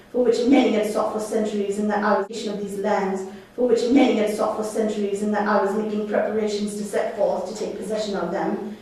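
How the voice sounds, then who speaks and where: A woman acts out a proud, commanding magician duke for a puppet stage play. The delivery is declamatory and imperious, in a higher-than-average voice. It sounds far from the microphone in a very echoey room. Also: warm tone, natural voice.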